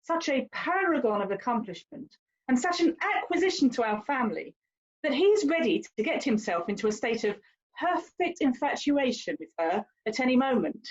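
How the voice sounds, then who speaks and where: A woman's voice: high voice, very animated, moderate pace, highs cut off. A woman playing a haughty, worldly young lady in a period drama, with an emphatic, condescending, theatrical delivery. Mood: indignant. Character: haughty, worldly young English lady, teasing older sister. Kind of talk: stage-style period drama dialogue.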